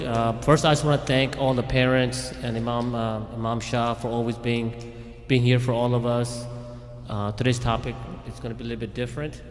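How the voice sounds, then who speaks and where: A man speaks conversationally to a community audience in a hall, often restarting his sentences and checking in with "okay?". His voice is mid-pitched and carries a long reverb. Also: a little hiss or hum. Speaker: police chief speaking at a community event. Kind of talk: informal faith talk to a community audience. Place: Islamic center hall.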